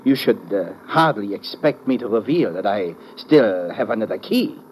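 An actor playing a suave, formal, politely threatening villain in an old radio drama. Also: male voice, lowish voice, sing-song, moderate pace, low-quality recording. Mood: coldly menacing. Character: suave, coolly menacing male villain with a pistol. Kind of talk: 1940s-50s radio drama acting. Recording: old radio recording, faint engine noise.

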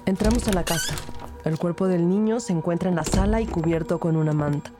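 A woman acting a composed, sympathetic police detective and narrator in an audio crime drama, measured and formal. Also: lowish voice, natural voice. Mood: grave. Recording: music bed behind the voice.

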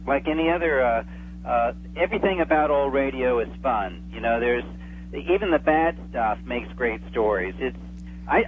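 A man's voice: fairly high pitch, slight background noise. Enthusiastic man on a tinny phone line. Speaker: radio show guest, old-time radio enthusiast. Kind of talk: casual radio interview chat. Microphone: telephone.

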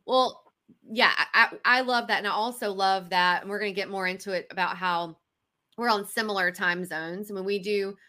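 Woman, podcast co-host, bright voice, enthusiastic, chatty, medium pitch. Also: steady tone, moderate pace. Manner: enthusiastic, chatty, laughs often. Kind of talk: casual podcast chat. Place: home studio, video call.

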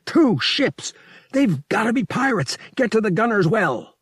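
Brash young starship pilot for an audiobook, performed by a man: colloquial, urgent, high-pitched delivery, slightly husky. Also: very animated, a little slow, dry recording, clean studio sound, exaggerated voice. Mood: urgent, alarmed. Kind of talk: audiobook character voice.

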